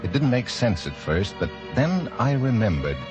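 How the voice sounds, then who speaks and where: An actor playing a blind detective captain, composed and courteous, with a measured, wry delivery in old-time radio drama style. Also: male voice, fairly low pitch, sing-song. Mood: brooding, wry. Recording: old radio broadcast with music behind, muffled.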